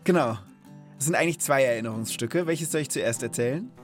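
Guy in his 30s hosting a kids podcast, mid pitch, chatty storytelling, bit of music behind. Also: a little fast. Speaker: children's podcast host. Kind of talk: conversational storytelling and chat for children.

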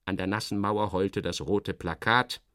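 A man with a low voice narrates a literary radio play in the first person. He is theatrical and sardonic, shifting his emphasis expressively, and the sound is close and dry. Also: fairly even intonation, a little slow, bright, forceful tone, dry recording.

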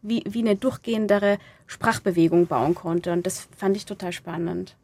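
A woman's voice: fairly low pitch, moderate pace, darkish tone, dry recording. A woman speaking as an interviewed playwright, casual and thoughtful, linking loose sentences and rewording as she goes. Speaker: playwright interviewed about her radio play. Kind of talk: casual reflective interview talk.

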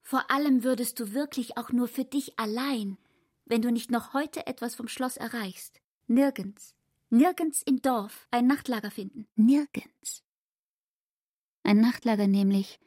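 An actress playing a devoted, determined young fiancée in a German literary radio drama, speaking in an insistent, coaxing way. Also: female voice, on the high side, measured pace, darkish tone, slightly rough, dry recording, studio quality. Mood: pleading, determined.

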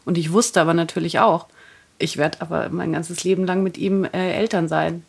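A woman's voice: on the low side, fairly even intonation, moderate pace, gravelly, slightly rough. A woman in her thirties, a podcast guest candidly telling her personal story. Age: 30s.

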